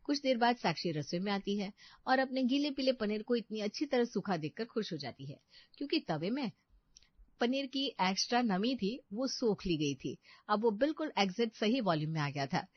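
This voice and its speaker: A woman's voice: mid-range voice, animated, moderate pace, no echo, highs cut off. A woman narrator reading a scripted bedtime moral story.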